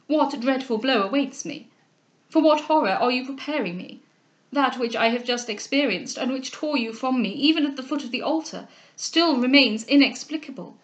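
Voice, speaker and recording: Woman acting an ardent, pleading young gentleman. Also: high-pitched, highs cut off, natural voice. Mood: dread. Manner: impassioned, formal, rhetorical questioning. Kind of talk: dramatic reading of a classic novel.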